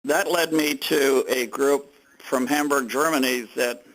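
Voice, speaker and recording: An older man, a company executive, gives remarks on a conference phone call in measured phrases with pauses. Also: mid-range voice, fairly even intonation, a bit bright. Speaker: company executive being interviewed. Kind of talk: conference call remarks, recounting his business.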